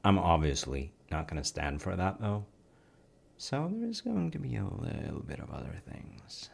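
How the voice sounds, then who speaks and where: Very deep, gravelly man as a cozy dad. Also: big pitch swings, moderate pace, exaggerated voice. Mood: cozy, gently playful. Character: gentle, playful dad baking with his child. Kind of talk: cozy audio roleplay, ASMR-like. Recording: faint noise, kitchen sounds.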